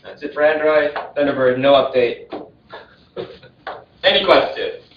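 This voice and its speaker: A man's voice: on the high side, unhurried, a little hiss or hum. A man giving an upbeat, chatty Android update in a casual meeting and laughing now and then. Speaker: Android support lead. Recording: echoey, distant, muffled call audio.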